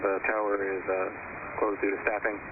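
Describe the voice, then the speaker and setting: A man transmits as an air traffic controller over radio. His low voice stays very flat in pitch. The sound is narrow and phone-like with clear noise. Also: normal speed. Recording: muffled, noisy aviation radio.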